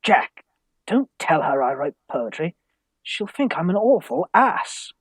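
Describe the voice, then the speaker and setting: An actor playing a timid young Victorian gentleman in a radio farce, with a British accent and a hesitant, plaintive manner. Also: a little high, sing-song, slow pace, husky, no echo, heavily acted. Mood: anxious, sheepish. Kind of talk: stage farce acting for radio play.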